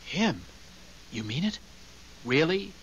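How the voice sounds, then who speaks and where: An earnest ghostly husband in seventies radio drama. His voice is bright and swoops expressively as he stammers and restarts in eager fluster. The recording is old and muffled. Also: a little high, very animated, slow pace, a little hiss or hum, heavily acted. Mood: earnest, troubled. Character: newly dead husband's spirit, earnest and flustered. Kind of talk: 1970s radio drama acting.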